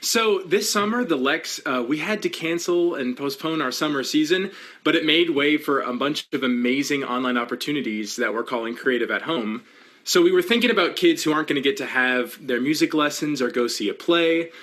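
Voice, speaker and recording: A man's voice: on the high side, fairly quick, fairly bright tone. A young man explaining things in a casual, upbeat way as an interview guest on a video call. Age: young adult. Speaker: interview guest, theater educator. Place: indoors at home, small room.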